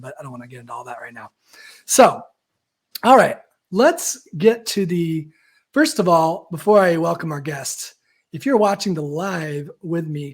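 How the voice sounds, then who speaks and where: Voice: medium pitch, darkish tone, husky. Host coach on a live stream; rambly, filler-heavy, casual. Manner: chatty and rambling, with many fillers and self-corrections.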